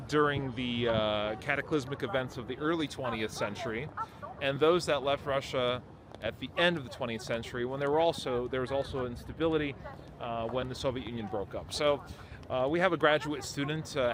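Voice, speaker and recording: A man hosting a podcast introduces the show and his guest, pausing often with fillers. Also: mid-range voice. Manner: halting, with many fillers. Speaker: host. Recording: outdoor street noise, traffic.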